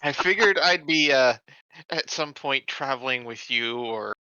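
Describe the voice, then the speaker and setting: Male tabletop player, very animated, forward sharp voice, jokey, close and dry on a lo-fi channel. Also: mid-range voice, unhurried, smooth, no echo. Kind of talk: casual joking chat.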